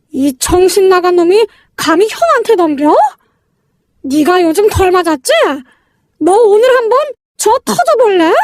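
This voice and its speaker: Thuggish older brother read by a woman, high-pitched, domineering, coarse and menacing, close dry sound, story drama. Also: no room sound, exaggerated voice. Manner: threatening, coarse, blunt.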